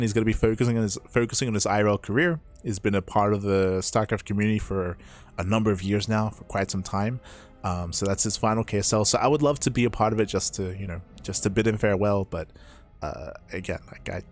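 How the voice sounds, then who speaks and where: A man with a somewhat low, hoarse and raspy voice chats loosely while signing off a stream. He rambles and repeats phrases, and faint music plays behind him. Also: fast. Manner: rambling, repeats himself, laughs often. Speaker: esports caster. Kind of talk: casual stream sign-off chat.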